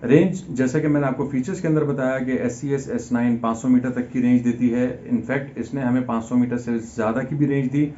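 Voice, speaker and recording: A man's voice: medium pitch, steady tone, a little fast, few pauses, warm tone. A man giving an explanatory product review in a conversational way, mixing English terms into his speech. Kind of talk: unboxing and review talk, explanatory. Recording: dull lo-fi sound, faint background noise.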